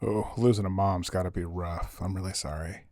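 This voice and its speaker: A man's voice: low-pitched, steady tone, moderate pace, dark tone, no echo, clean studio sound. A man playing a folksy, sympathetic late-night radio call-in host, informal and reassuring. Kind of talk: casual radio talk show acting.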